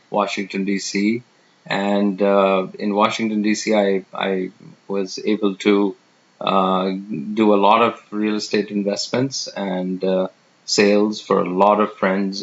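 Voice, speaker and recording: A man in his fifties from India introducing himself as a real estate professional, talking unhurriedly with many fillers and pauses. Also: fairly low pitch, gravelly, a bit bright. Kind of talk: self-introduction monologue. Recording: dull lo-fi sound.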